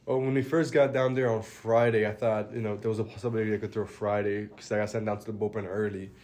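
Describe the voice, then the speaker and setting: A young man from the Dominican Republic, a college baseball pitcher, answering interview questions in a relaxed, plainspoken way and letting his phrases trail off quietly. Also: fast. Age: young adult.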